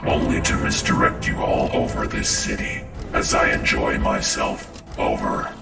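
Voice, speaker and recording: A man's voice: extremely low pitch, wildly swooping pitch, measured pace, vocal fry, heavily acted. An actor playing a sadistic, taunting killer in a video game, drawing out his words with menace and gloating. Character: sadistic, taunting male pyrokinetic serial killer villain. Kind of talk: dramatic game villain acting. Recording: echoing, distant, under loud music.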